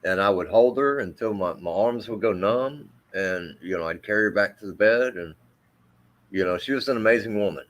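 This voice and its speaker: A middle-aged army veteran with a deep, carrying voice tells a personal story in an interview, speaking haltingly with many fillers and restarts. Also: male voice, fairly bright tone.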